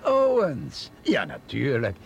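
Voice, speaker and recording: An actor playing a genial, chatty professor in a radio play, with a gravelly voice and an emphatic way of talking. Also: male voice, mid-range voice, wildly swooping pitch, slow pace, muffled recording, a little hiss or hum, exaggerated voice. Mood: jovial, reminiscing. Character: jovial, chatty male foreign professor. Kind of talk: Dutch radio drama acting.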